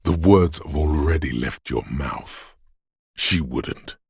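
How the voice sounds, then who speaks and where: A man voicing a primal, menacing inner voice of danger and aggression, narrating a video game in a brooding, gravelly, deliberate way. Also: dry recording, studio quality, full character voice. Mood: menacing, intense. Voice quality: gravelly, husky, very deep. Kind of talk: dramatic second-person game narration.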